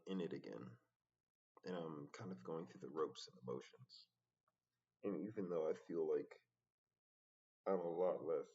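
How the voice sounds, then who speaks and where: A man talking in a casual, reflective solo vlog, halting and often pausing mid-sentence. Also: very deep voice, gravelly, a little mellow, breathy edge. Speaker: rapper vlogging his progress. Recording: dull, muffled lo-fi sound.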